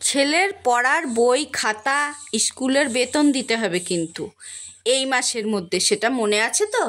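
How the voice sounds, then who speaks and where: Woman playing high-voiced village mother, reverberant. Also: normal speed, fairly bright tone, lightly acted. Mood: practical, caring. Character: practical young village mother and wife, caring, fretful. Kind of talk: cartoon dialogue. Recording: long reverb on voice.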